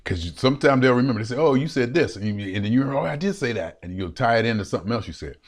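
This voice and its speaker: A man in his late fifties talking on and on to a webcam in his room, animated and laughing, often repeating himself. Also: mid-range voice, rapid-fire. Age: 50s. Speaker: vlogger. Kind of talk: rambling solo vlog to a webcam.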